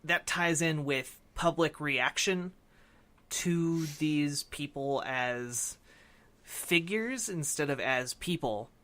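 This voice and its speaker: Guy cohosting a podcast, bright forward voice, bit high, casual and kinda searching for words. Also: takes it slowly. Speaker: podcast co-host. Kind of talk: casual discussion of a film and games.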